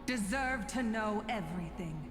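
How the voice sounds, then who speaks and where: An actress playing a proud, resolute young heroine in a dramatic video game scene. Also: female voice, medium pitch, lively intonation, normal speed, close to an everyday voice. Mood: proud, defiant. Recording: long echoing reverb over game music.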